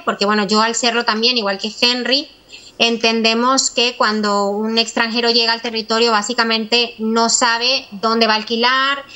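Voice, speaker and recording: A Venezuelan woman in her thirties speaks as a lawyer guest over a video call. Her voice is fairly high and very bright, with a forceful edge, as she explains in an interview style. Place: small office, indoors.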